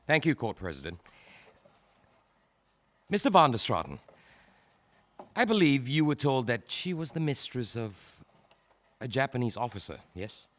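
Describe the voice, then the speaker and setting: A man playing a prosecution counsel in a courtroom radio drama, questioning in a formal, measured way. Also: medium pitch, very animated, a bit bright. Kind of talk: audio drama acting.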